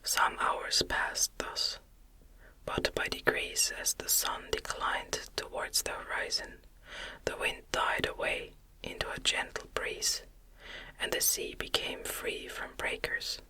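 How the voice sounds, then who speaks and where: Unvoiced whisper, ASMR audiobook reading, breathy, very bright and sibilant, slow soothing phrasing, deliberate pauses. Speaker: audiobook reader.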